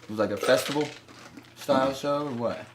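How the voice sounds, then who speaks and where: Joking male interviewer, mid-pitched, faint background noise. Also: fairly quick. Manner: teasing, joking questions. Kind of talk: casual interview chat.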